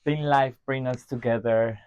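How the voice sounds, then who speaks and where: Smooth-voiced male podcast host. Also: mid-range voice, fairly even intonation, moderate pace, darkish tone, no room sound, rough recording. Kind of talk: podcast interview.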